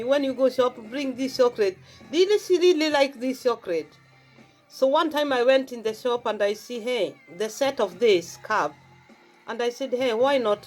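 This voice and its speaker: Kenyan woman, Swahili native, casual devotional vlog talk, faint music under her. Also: on the high side. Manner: repeats phrases, explains herself at length. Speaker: vlogger sharing faith. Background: faint gospel song playing.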